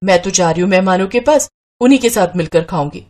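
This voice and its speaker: A woman's voice: mid-range voice, expressive, fast, lightly acted. A woman voicing a stubborn, exasperated wife who scolds and complains in a moral story. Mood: irritated. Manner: sharp complaining. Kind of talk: Hindi moral story acting.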